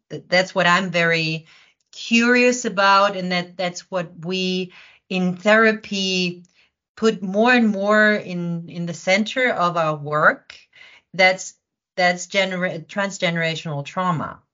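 A woman's voice: on the low side, a bit bright, muffled recording. A woman, a therapist, interviewing on a podcast and often repeating words as she searches for them.

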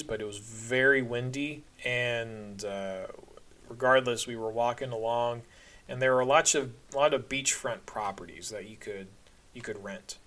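A man's voice: mid-range voice, a bit bright. A man recounting his own experiences in a candid, conversational storytelling style with a wry sense of humor. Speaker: podcast narrator. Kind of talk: casual personal storytelling.

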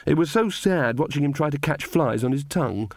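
A man's voice: a little high, animated, brisk, a little mellow, no room sound, studio quality. A man voicing an earnest, gloomy, timid younger woman witch in a storybook reading, hesitant and plaintive.

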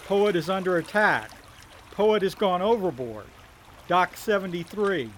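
A man's voice: sits high, expressive, unhurried, fairly bright tone, a little hiss or hum. A man playing a commanding federal agent in a 1930s radio drama, giving clipped orders. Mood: urgent commanding. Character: commanding male federal agent leading a raid squad.

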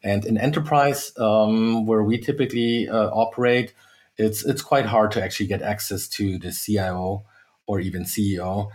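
A middle-aged man from the United States, speaking as a sales executive guest in a podcast interview, measured and with many fillers and restarts. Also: lowish voice, steady tone.

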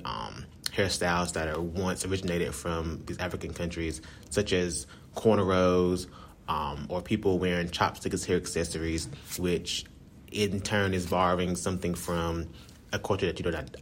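A man with an American accent and a low voice hosts a casual group discussion. He moderates and introduces questions in a conversational way. There is some background noise on the recording. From United States. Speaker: podcast host.

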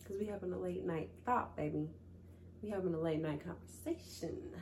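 Chatty young woman, slightly low voice. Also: normal speed, a little mellow, slight background noise. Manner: chatty, addresses listeners as y'all and baby. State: recovering from a cold. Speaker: podcast host. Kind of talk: casual solo talk to listeners.

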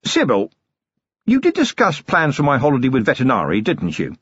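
A man voicing a gruff middle-aged police commander who grumbles dryly. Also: medium pitch, huge pitch swings, normal speed, a bit bright, highs cut off, full character voice. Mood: wry. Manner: dry, grumbling and wry.

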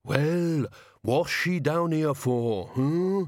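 A man's voice: fairly high pitch, no room sound, clean studio sound, voice pushed up. Man voicing a leering drawling bogeyman. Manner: slow taunting drawl. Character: menacing hairy male bogeyman, leering. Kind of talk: audiobook character voice.

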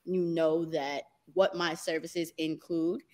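A young woman talks loosely and candidly as a guest, her voice sitting a little low, breaking into easy laughter and sprinkling "y'all" and "like" through her answers. The tone is casual and conversational. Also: slow pace. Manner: relaxed and candid, laughs often, says "y'all" and "like". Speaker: guest, dancer and model. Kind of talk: casual interview chat.

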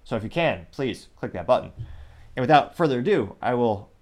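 A man hosts his own commentary show, his voice sitting at an everyday middle pitch. He rambles, doubles back and corrects himself mid-sentence. It is captured on a small USB microphone at a home setup. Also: lively intonation. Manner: rambling, self-correcting, mentions stuttering and speaking fast. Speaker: show host.

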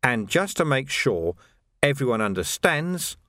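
A man's voice: mid-range voice, sing-song, pushed and bright, no room sound, clean studio sound, voice pushed up. A man voicing a stern, commanding watch commander. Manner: brisk, commanding, lecturing. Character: stern middle-aged male watch commander. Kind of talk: audiobook character voice.

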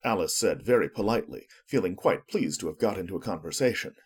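A man's voice: low-pitched, lively intonation, fast, a little mellow, husky. A man narrating a storybook as a scripted audiobook, telling it expressively.

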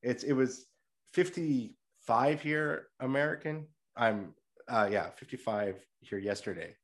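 A man from Canada hosting a casual interview, speaking haltingly with many fillers and restarts. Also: medium pitch. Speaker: podcast host.

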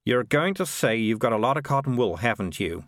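A man's voice: mid-range voice, animated, brisk, fairly bright tone, no room sound, clean studio sound, natural voice. A man voicing Morris, a cynical streetwise male cat who talks in a wry, grumbling, sarcastic way.

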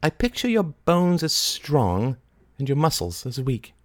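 A man voicing a sly woman trickster who speaks gently and teasingly, with giggles. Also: sits low, sing-song, measured pace, dry recording, studio quality, voice pushed up, full character voice.

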